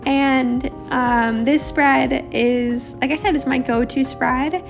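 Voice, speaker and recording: Raspy, rambling young woman vlogger. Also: high voice. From Australia. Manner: rambling, chatty, many fillers. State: raspy, harsh throat. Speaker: bullet journal vlogger. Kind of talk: casual voice-over while drawing.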